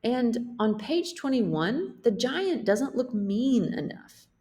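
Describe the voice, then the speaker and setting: A woman's voice: fairly high pitch, very animated, takes it slowly, warm tone, pitched above the performer's own voice. A woman voicing a picky female book editor in a children's picture book read-aloud. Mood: brisk, critical.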